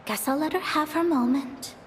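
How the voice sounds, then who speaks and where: A woman's voice: high-pitched, moderate pace, slight background noise, lightly acted. Exasperated young woman acted in long reverb. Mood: resigned, dry. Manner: dry, grudgingly indulgent. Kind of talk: video game voice acting.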